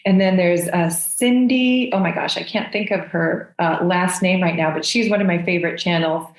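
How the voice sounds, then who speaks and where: A woman's voice: fairly low pitch, moderate pace, roomy sound. A woman from the United States, a guest channeler, chatting in an effusive and enthusiastic way. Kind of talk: casual interview chat.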